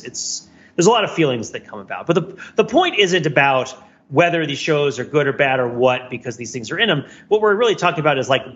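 A man with a bright, keen-edged voice at a middle pitch chats as a podcast co-host. He rambles through his thoughts with wry self-deprecation. The recording is a little dull. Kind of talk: casual conversational podcast chat.

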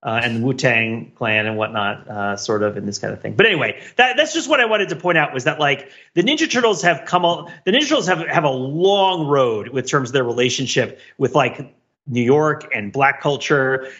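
A man co-hosting a podcast, chatting casually about pop culture in a rambling, digressive, animated way on a slightly lo-fi track. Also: mid-range voice, a little fast. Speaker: podcast co-host.

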